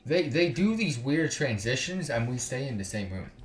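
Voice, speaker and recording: A man's voice: medium pitch, big pitch swings, normal speed, echoey room. A man hosting a game stream, mixing blunt, slangy commentary with reading the game's text aloud.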